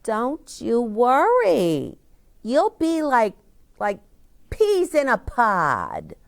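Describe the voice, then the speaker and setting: Chirpy, reassuring female schoolteacher for a storybook, acted by a woman, high and drawn-out. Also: huge pitch swings, vocal fry, heavily acted. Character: bright, reassuring woman schoolteacher rallying her class.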